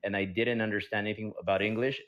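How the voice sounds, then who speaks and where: Halting low-voiced man, lo-fi audio. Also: flat delivery, a bit bright. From United States. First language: Spanish. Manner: halting, earnest, many fillers. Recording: dull lo-fi audio.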